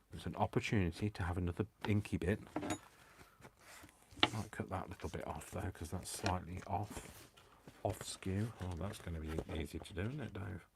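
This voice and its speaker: A man's voice: low-pitched, creaky, background noise. A man hosting a live crafting stream, chatting to himself as he works and poking fun at his own mistakes. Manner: self-mocking, talks to himself. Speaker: crafting video host.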